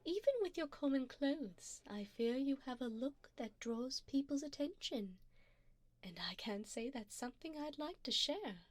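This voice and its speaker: A woman plays an elegant, wealthy vampire lady who dotes on and quietly owns her listener. Her voice is fairly high, soft and breathy, often sinking toward a whisper. She takes her time over each formal, coaxing phrase, gently commanding in an intimate ASMR roleplay, very dry and close to the ear. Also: animated, unhurried, no room sound. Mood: possessive, teasing. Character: wealthy, doting, possessive female vampire sugar mommy. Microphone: binaural 3Dio microphone, close up.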